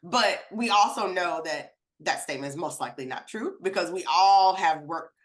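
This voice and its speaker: A woman's voice: lowish voice, expressive, moderate pace. A woman co-hosting a podcast, talking in a supportive, affirming way and explaining her points in loose, conversational phrasing. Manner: supportive, affirming, explains with many likes and you knows. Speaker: podcast co-host. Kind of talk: casual conversation. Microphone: video call.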